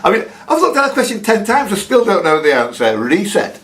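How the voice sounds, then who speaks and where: A man's voice: sits high, big pitch swings, brisk, fairly bright tone. A man hosting a live quiz, reading out questions and adding chatty, jokey asides between them. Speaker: quiz host. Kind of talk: live pub-style quiz hosting, reading questions aloud with ad-libbed banter. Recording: clear background noise, live room.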